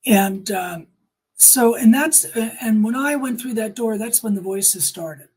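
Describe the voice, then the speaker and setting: A woman's voice: a little high, a little slow, a little mellow, breathy edge. A woman giving personal testimony in an interview, speaking emphatically and repeating phrases to drive points home.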